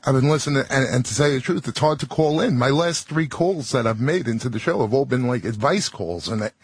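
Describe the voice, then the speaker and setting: A man's voice: mid-range voice, animated, fairly quick, slightly rough, no echo. A man from Brooklyn, a guest in a radio studio, stammering as he gives blunt pep-talk advice.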